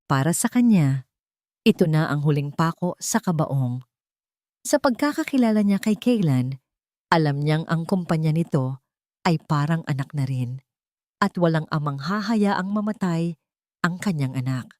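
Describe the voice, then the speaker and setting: A woman's voice: deep voice, animated, measured pace, pauses often, warm tone, no echo, studio quality. A woman narrating a scripted dramatic audio story.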